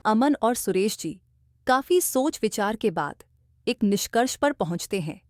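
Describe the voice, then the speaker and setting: A woman tells a scripted cartoon bedtime story as its narrator. Her delivery is very lively in pitch, and the voice-over sounds close and dry. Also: unhurried, no echo.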